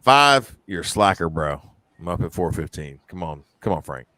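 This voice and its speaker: Man, casual podcast host, lowish voice, dead-dry room. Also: very animated, normal speed, pushed and bright, no room sound. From Alabama. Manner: rambling, joking, talks to chat by name. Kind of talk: casual live-stream chat.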